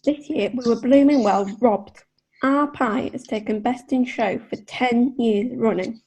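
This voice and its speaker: An actress playing an aggrieved, proud butcher's wife, blunt and scornful, in a period courtroom play. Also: female voice, fairly high pitch, unhurried, a little mellow, husky, rough recording. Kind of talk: theatrical courtroom play acting.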